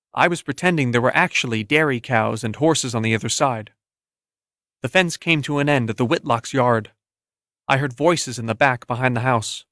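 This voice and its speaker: A man's voice: medium pitch, fairly bright tone, no echo. Male narrator for literary first-person audiobook fiction, recorded dry and close.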